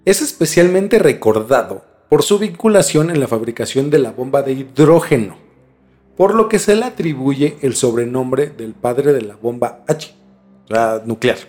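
A man's voice: mid-range voice, lively intonation, normal speed, darkish tone. A man with a Mexican accent hosting a podcast, mixing narrated storytelling with slangy, colloquial chat. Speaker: podcast host. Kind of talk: conversational storytelling mixed with scripted narration. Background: music.

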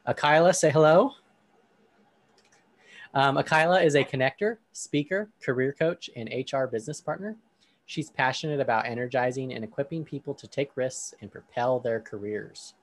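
A man hosting a podcast discussion, talking in a relaxed way with frequent ums, then reading a Bible passage aloud. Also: mid-range voice, normal speed, no room sound. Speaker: podcast host.

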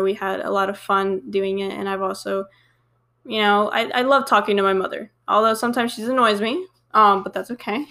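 A young American woman hosts a relaxed podcast chat. Her speech is loose and filled with ums and "like", and her voice sits at an ordinary pitch for a woman. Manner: chatty, many fillers and likes. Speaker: podcast host. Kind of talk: casual chat.

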